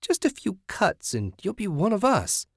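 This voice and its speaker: A man voicing a menacing, sanctimonious, depraved woman in an audiobook, with a raspy, taunting delivery. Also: high voice, huge pitch swings, no room sound, clean studio sound, pitched above the performer's own voice, full character voice. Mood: scolding menace. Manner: taunting, sinister, drawn-out threats. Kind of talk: dramatic audiobook character voice.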